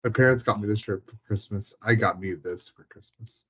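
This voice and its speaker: A man's voice: fairly low pitch, fairly quick, husky. A man talking informally in a casual, conversational chat. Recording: muffled, dull lo-fi sound.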